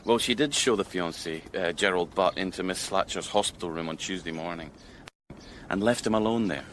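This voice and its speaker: An actor playing an eager, deferential British police sergeant in a radio drama, earnest and easily distracted. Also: male voice, low-pitched, a little fast, a bit bright, a little hiss or hum. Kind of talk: radio drama acting with narration.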